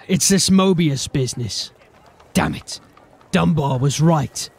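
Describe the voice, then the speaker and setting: A man's voice: a little high, takes it slowly, creaky, darkish tone, slightly rough, full character voice. A man playing a grim, determined city watch officer in a fantasy audio drama, speaking in a terse, commanding way.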